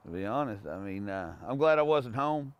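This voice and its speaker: A man's voice: medium pitch, slight background noise. A man answering an interview casually, with a blunt, colloquial way of talking.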